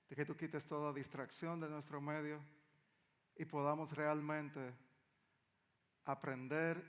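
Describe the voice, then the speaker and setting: A male pastor with a Dominican accent delivers a sermon in a fairly high-pitched voice. His manner is conversational and leans on rhetorical questions. The sound carries long reverb, as in a large hall. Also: fairly bright tone. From Dominican Republic. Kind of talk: sermon to a congregation.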